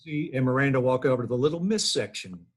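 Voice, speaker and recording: A man's voice: medium pitch, fast talker. A man reading out stage directions at a sitcom table read, in a plain narrating manner.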